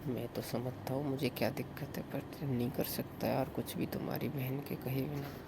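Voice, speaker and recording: A man acts out a resigned, flirty brother-in-law on a secret night call. His mid-pitched voice is extremely gravelly and creaky, and he talks in a low-key, conversational way. Clear noise sits behind the phone recording. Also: steady tone, moderate pace, lightly acted. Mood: resigned, complaining. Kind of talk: intimate staged phone-call acting in casual Hindi.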